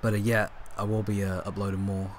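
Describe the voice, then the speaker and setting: A young man with a hoarse, fading voice, chatting casually over his football game footage, rambling with lots of ums and restarts. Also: lowish voice, completely flat delivery, fairly quick, a little hiss or hum. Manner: rambling, filler-heavy, self-correcting. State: hoarse, coughing, voice going. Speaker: gaming YouTuber. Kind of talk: casual gameplay commentary.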